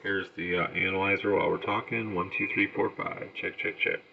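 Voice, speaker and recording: A man's voice: low voice, fairly even intonation, a little fast, vocal fry. A man giving a casual hands-on gear demonstration, thinking aloud in an unpolished, tentative way. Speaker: CB radio hobbyist reviewing gear. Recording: dull lo-fi home recording, faint noise.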